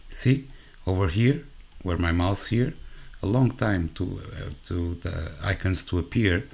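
A man from Portugal with a Portuguese accent, hosting a software walkthrough in English as his second language, hesitant and full of self-corrections, talking straight to his viewers. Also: deep voice, a little slow, vocal fry. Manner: addresses viewers directly. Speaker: tech channel host. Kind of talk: screen-recorded software walkthrough.

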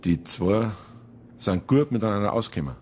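An actor playing a calm, matter-of-fact police officer in a radio crime drama, measured and inquisitive. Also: male voice, on the low side, a little hiss or hum, lightly acted. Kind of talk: naturalistic German radio crime drama acting.

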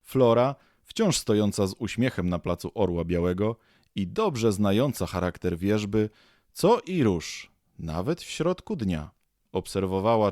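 A man's voice: fairly low pitch, darkish tone, no room sound, studio quality. A man reading scripted storybook narration as the narrator of a radio play.

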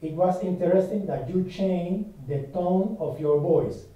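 A man's voice: high voice, animated, measured pace, dark tone, very echoey, far from the mic, low-quality recording. A man whose first language is Spanish, asking interview questions with careful, non-native phrasing.

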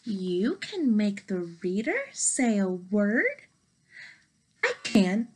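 A young woman reading a children's picture book aloud in an expressive, dramatic and playful manner. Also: medium pitch, drawn-out words, husky. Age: young adult. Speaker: storybook reader. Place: small bedroom, indoors.

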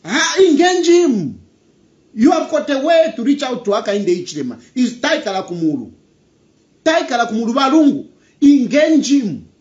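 A young man with a Zambian accent speaks in a very high voice that swoops up and down with plenty of life. He hammers his points home, repeating phrases for emphasis as he comments on politics from a room at home. The webcam audio is dull and lo-fi. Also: sing-song, moderate pace, a little mellow. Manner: emphatic, repeats key phrases, mixes in local language. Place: indoor room.

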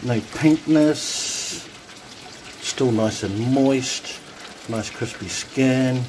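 A relaxed man talks his way through cooking a meal at home, pausing for ums as he stirs and checks the pots. His voice sits at an ordinary middle pitch. It is caught on a phone over the stove, with clear kitchen noise and sizzling behind him. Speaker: home cook vlogger. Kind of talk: casual cooking commentary. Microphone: phone camera held over the stove. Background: sizzling and bubbling pots.

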